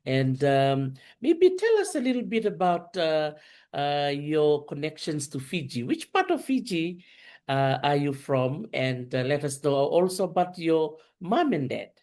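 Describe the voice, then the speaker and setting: A talk show host with a warm, mellow voice at a middle pitch. The host asks questions gently, pausing often on "uh" and "eh" in a soft, unhurried interview chat. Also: very animated. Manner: soft-spoken, gentle questions with many hesitant fillers. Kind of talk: casual interview chat, switching between Fijian and English.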